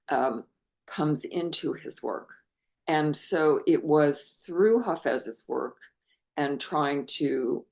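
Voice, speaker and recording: A middle-aged American woman, a scholar and translator, talking earnestly and thoughtfully in an interview, musing with pauses. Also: low voice, a little mellow. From United States. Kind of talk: reflective interview talk.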